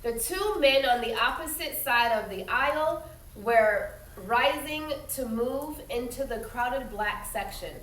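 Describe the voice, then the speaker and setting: A woman with a slightly high voice reads a children's story aloud like a teacher. She is expressive and instructive, pausing to explain things simply. The sound is clearly echoey and a bit far from the mic, with faint noise behind her. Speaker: teacher reading a picture book aloud. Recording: roomy echo, distant mic, faint noise.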